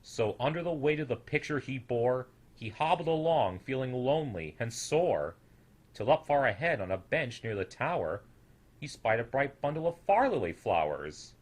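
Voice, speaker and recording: A young man reading a rhyming children's story as an expressive, theatrical storyteller with a sing-song rhythm. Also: mid-range voice, moderate pace. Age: young adult.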